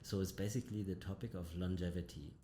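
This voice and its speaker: A man hosting an interview, asking questions in a halting way, repeating words as he goes. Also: steady tone, mellow. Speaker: doctor hosting an interview show. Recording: roomy echo with faint rumble.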